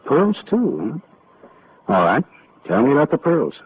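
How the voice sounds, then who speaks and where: A man's voice: mid-range voice, wildly swooping pitch, a little slow. A man playing a wisecracking hard-boiled private detective, dry and clipped, in 1940s radio acting. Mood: wry. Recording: tinny old radio broadcast, faint hiss.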